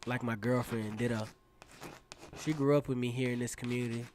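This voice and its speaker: A man's voice: mid-range voice, fairly even intonation, brisk, a little mellow, slight background noise, lightly acted. An actor playing a cocky, dreamy teenage circus acrobat who narrates his own story in a casual, self-assured way for a radio drama. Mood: casual, confident. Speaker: narrator and lead character. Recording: music playing behind the voice. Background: instrumental music.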